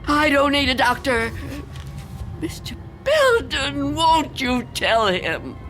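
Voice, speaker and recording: Radio play role: fragile, reclusive old woman, fearful and pleading, high voice with wide swings. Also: very animated, a bit bright, soft background music, exaggerated voice. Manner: tremulous, pleading, halting. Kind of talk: dramatic radio play acting.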